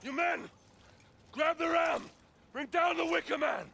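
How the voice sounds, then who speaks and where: A man's voice: extremely high pitch, steady tone, a little slow, creaky, slight background noise, heavily acted. An actor playing a Roman centurion who barks urgent orders to his men in a video game battle. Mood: commanding. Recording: game audio with loud battle ambience.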